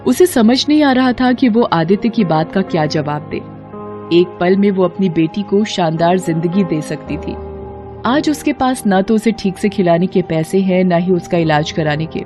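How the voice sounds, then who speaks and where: A woman's voice: on the low side, expressive, normal speed, warm tone. A woman storyteller reading a scripted moral story in a dramatic narration style. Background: background music.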